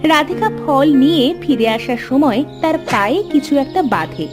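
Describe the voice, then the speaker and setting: A woman's voice: sits high, lively intonation, unhurried, over music. A woman narrating a scripted fairy tale for children.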